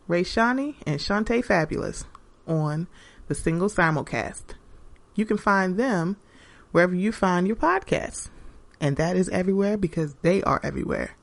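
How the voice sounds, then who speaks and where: A woman podcast host delivering a scripted promo for her show. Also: fairly low pitch.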